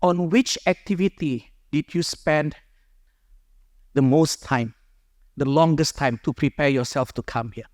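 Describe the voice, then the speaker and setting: A man's voice: fairly high pitch, measured pace, darkish tone, dry recording, clean studio sound. A man, a friar sharing his personal story with a small group in an earnest, conversational way, speaking into a microphone. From Indonesia. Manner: earnest, conversational, rephrases as he goes.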